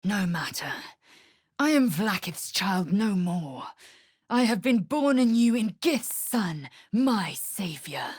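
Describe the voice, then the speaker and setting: A woman playing a proud, fierce githyanki warrior in a fantasy video game, with a husky, raspy voice and a clipped, imperious, formal delivery. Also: medium pitch, very animated, slow, a little mellow, dry recording, studio quality, full character voice. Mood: solemn.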